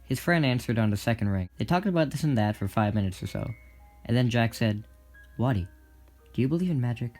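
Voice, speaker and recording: A man's voice: medium pitch, expressive, fairly quick, a little mellow. A man reading scripted story narration for an audio drama. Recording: prominent guitar music behind. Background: plucked guitar music.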